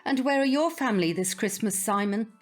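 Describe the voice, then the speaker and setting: Woman acting a prim, high-voiced British grandmother. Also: a little fast, soft background music, natural voice. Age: older. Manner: prim, proper, hesitant around awkward subjects. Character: prim, old-fashioned grandmother, opinionated and wistful. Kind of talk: audio drama acting.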